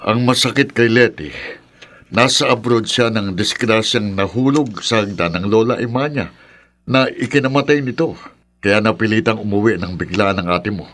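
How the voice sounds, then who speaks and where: A man's voice: on the low side, normal speed, fairly bright tone, a little hiss or hum, close to an everyday voice. A man playing a middle-aged father of grown daughters in a radio drama, concerned and sceptical, reasoning and questioning. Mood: pondering. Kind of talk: Filipino radio drama acting.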